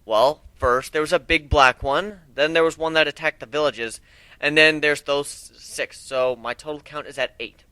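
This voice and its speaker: A man's voice: on the high side, steady tone, moderate pace, bright, forceful tone, clean tone, no echo. A man voicing a tough, joking, protective griffin warrior with a brash, casual way of talking in a story reading.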